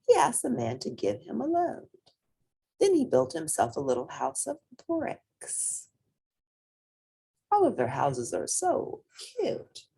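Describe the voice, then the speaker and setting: A woman reading a children's story aloud expressively, with drawn-out emphasis and playful asides. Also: a little high, wildly swooping pitch, creaky, dark tone, slightly rough.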